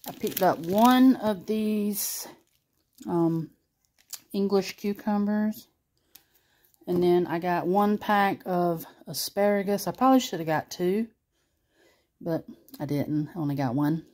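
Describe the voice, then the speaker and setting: A woman's voice: fairly low pitch, takes it slowly, pauses often, a little mellow. A woman showing her groceries at her kitchen table, chatty and homey, filmed close on a phone. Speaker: grocery haul vlogger. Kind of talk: casual show-and-tell narration. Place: kitchen table at home, indoors.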